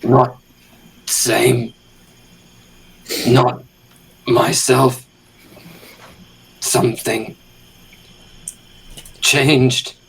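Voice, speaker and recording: A man playing a dead adventurer in tabletop roleplay, solemn and tender, speaking in halting fragments. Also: mid-range voice, little pitch movement, gravelly, a bit bright, a little hiss or hum, heavily acted, rough recording. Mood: grave, bleak. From Britain. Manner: halting, short clipped fragments. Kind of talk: improvised tabletop roleplay drama.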